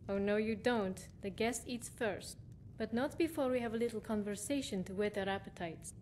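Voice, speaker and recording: An actress playing a superstitious rustic wife and mother in a video game, homely, gently scolding and eerily hospitable. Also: mid-range voice, normal speed, slight background noise, close to an everyday voice. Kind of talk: video game character acting.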